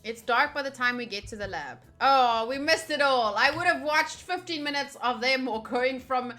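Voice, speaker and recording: Woman, high sharp voice, excitable streamer commentary, reading game text, music behind. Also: normal speed. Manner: excitable, giggly, animated reactions. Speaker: streamer playing through a visual novel.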